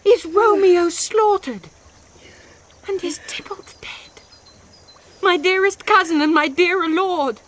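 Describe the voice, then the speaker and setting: An actress with an English accent playing a passionate, impatient young noblewoman in a classical radio drama, speaking breathy, intense verse. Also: very high-pitched, slow pace, long pauses, a bit bright, full character voice. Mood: grief-stricken. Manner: poetic, breathy, intense declamation. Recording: noisy 1990s radio drama recording.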